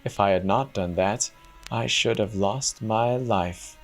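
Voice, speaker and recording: Storybook role: sorrowful princess in goose girl disguise, solemn, slow, lamenting played by a man in his low register. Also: faint music behind. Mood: sorrowful, guarded. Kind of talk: dramatic storybook reading.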